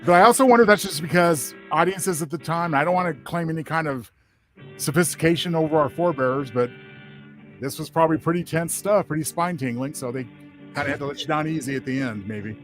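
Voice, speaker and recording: A man's voice: fairly high pitch, fast, a bit bright, faint music behind. A man speaking as a panelist in a casual discussion, reflective and tentative as he gives his opinion.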